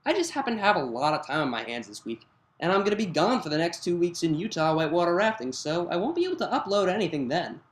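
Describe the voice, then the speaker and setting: A narrator reading fan fiction aloud in an animated storytelling style. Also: mid-range voice, quick pace.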